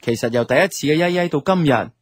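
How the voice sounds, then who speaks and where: Man acting a musing young Hong Kong storyteller, lively intonation. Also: fairly high pitch, fast, slightly rough, no room sound, studio quality. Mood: reflective. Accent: Hong Kong Cantonese. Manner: colloquial, reflective storytelling. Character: laid-back young man narrating his love life, musing. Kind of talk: first-person radio drama narration. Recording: music under the voice.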